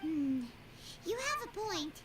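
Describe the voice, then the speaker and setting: A woman voicing a small, chirpy, floating female fairy companion in a video game, bubbly and animated. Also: very high voice, slow, full character voice. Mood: curious.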